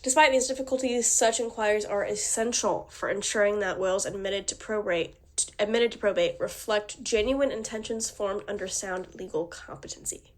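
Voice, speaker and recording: A woman's voice: medium pitch, normal speed. Woman with a cold, slightly nasal. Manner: reads formal text with small slips and restarts. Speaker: student presenter. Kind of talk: reading a prepared class presentation.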